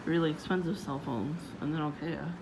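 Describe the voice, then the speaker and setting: New lady chatting low into her phone, rambly, lots of like and you know, noisy recording. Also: fairly even intonation, fairly quick. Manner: chatty, meandering, frequent fillers and restarts. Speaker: vlogger. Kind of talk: casual rambling vlog. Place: outdoors, windy.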